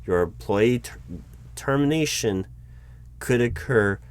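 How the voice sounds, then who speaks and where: A man playing a job interviewer in an ASMR roleplay, patient but baffled, with a dry, halting delivery full of restarts. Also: mid-range voice, lively intonation, smooth. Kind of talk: ASMR roleplay, spoken aloud, not whispered.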